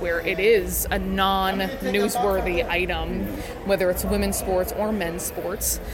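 A woman sports broadcaster giving thoughtful, articulate interview answers in an earnest way. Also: mid-range voice.

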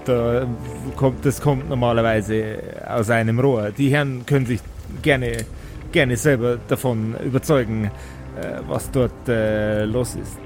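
A man acts out a village mayor in a fantasy tabletop play, formal and easily flustered. He speaks slowly and haltingly, stumbling politely from one hesitation to the next. Music plays clearly behind him. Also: medium pitch, fairly even intonation, lightly acted. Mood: flustered, hesitant. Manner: stammering, full of drawn-out hesitations. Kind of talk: improvised tabletop audio play.

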